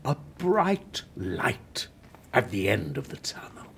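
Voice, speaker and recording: A man acting a dying playwright in a radio play, grieving and wry, speaking haltingly with breathy murmurs. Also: fairly low pitch, wildly swooping pitch, fairly bright tone, slight background noise, heavily acted. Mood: wry, wistful.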